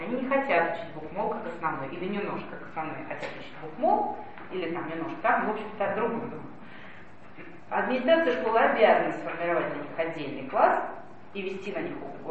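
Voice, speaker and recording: A woman giving a spoken lecture, explaining things and addressing her listeners directly. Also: fairly low pitch. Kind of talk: spoken lecture on language policy. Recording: echoey distant lo-fi hall recording with noise.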